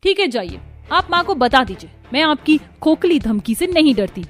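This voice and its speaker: A woman's voice: high voice, big pitch swings, moderate pace, soft background music, pitched above the performer's own voice. A woman voicing a hot-headed, lovestruck young sister-in-law in a cartoon family drama, dropping English phrases into her talk. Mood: irritated, defiant.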